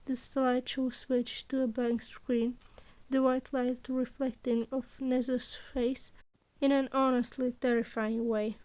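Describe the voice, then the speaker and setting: Casting: female audiobook-style narrator, notably high voice, reading scripted fiction. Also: little pitch movement, measured pace, a little mellow. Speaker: podfic narrator.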